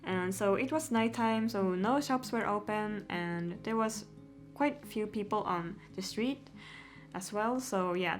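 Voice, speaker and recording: Young girl rambling to camera, giggly, lots of likes, soft guitar music under it. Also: medium pitch. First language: Swedish. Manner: chatty, giggly, lots of "like" and "yeah". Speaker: vlogger. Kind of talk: casual Q&A chat to camera.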